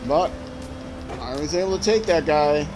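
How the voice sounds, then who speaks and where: A man streaming a racing game, thinking aloud in rambling, self-restarting commentary with sudden excited outbursts. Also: a little high, moderate pace, background noise. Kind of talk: casual gameplay commentary. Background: loud game music and car engine sounds.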